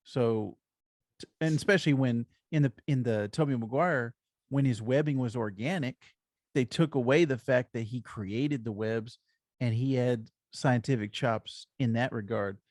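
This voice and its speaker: A man's voice: mid-range voice, normal speed, a little mellow, dry recording, clean studio sound. A man co-hosting a podcast, chatting casually and agreeably as a fan. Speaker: comics podcast co-host.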